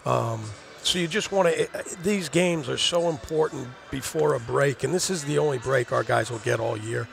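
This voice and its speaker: A man's voice: medium pitch, normal speed, creaky, hardly any pauses. A middle-aged man, an assistant basketball coach, giving a rambling postgame interview on a headset mic in a studio set, often repeating words as he thinks. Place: studio set in a gym, indoors.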